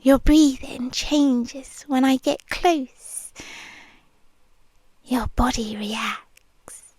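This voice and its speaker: A British woman playing an obsessive, possessive young nurse in an ASMR roleplay, whispering softly and teasing in a sweetly controlling way close to the mic. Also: sits high, drawn-out words, no echo, exaggerated voice. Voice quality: soft, breathy whispering. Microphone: close mic, speaking into the ear.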